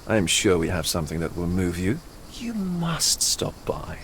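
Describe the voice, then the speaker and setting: A man's voice: sits low, sing-song, moderate pace. A genteel, theatrical male art dealer for a game cutscene. His florid, teasing phrases rise and fall dramatically, with a sly, probing undertone. Faint ambient noise sits behind the voice. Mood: courteous, suave. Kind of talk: video game cutscene acting.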